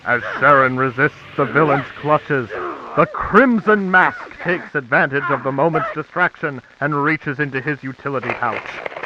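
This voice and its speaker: An actor playing an earnest, excitable announcer narrating a 1940s radio serial in a declamatory, suspenseful way. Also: male voice, on the high side. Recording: dull, noisy old-time radio sound.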